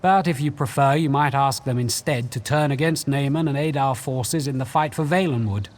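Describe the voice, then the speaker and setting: An actor playing an earnest, thoughtful companion on a fantasy quest in a video game, speaking in a measured way. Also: male voice, medium pitch, close to an everyday voice. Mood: earnest, counselling. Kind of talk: video game character dialogue. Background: music.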